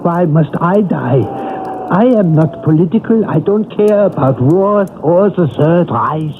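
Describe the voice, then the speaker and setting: A man's voice: a little high, animated, measured pace. An actor playing a timid, frightened man forced to be a dictator's double, plaintive and nervous, in a radio drama. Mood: plaintive, indignant. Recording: noisy, tinny old radio broadcast.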